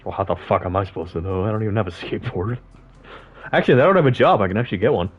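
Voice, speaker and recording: A low-pitched man chats casually over a game, cracking jokes, swearing and laughing a lot as he builds. His voice comes through dull and lo-fi. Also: very fast, husky, soft background music. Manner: joking, laughs often, swears. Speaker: gamer. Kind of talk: casual gaming chat. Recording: dull lo-fi sound.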